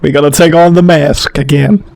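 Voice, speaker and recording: A man's voice: on the high side, steady tone, normal speed, gravelly, darkish tone. A man giving casual, offhand reactions as he plays a video game. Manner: offhand, informal. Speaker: gamer reacting to a playthrough. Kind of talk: casual gaming commentary.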